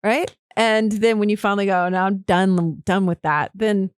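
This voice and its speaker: A woman's voice: mid-range voice, steady tone, moderate pace, clean tone, dry recording. Chatty woman host, dry close sound. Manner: chatty, acts out quoted speech, swears casually. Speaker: podcast host. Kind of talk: casual interview chat.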